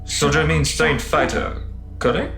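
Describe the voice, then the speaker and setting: A man's voice: fairly high pitch, measured pace, gravelly, a bit bright, faint music behind, heavily acted. A man playing an alien conscript soldier in an audio drama, blunt and wistful, speaking in literal, formal phrases with puzzled questions. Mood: earnest, puzzled.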